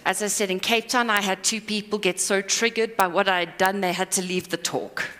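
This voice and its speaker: A woman's voice: mid-range voice, steady tone, fairly bright tone. Rambling self-deprecating woman in a hall. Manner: self-deprecating, rambling, quick asides and apologies. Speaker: conference speaker. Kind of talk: live conference talk. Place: conference hall. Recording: hall reverb.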